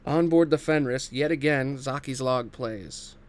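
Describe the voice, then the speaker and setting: A man's voice: mid-range voice, measured pace. A man narrating a screenplay's action and scene headings in a scripted table read.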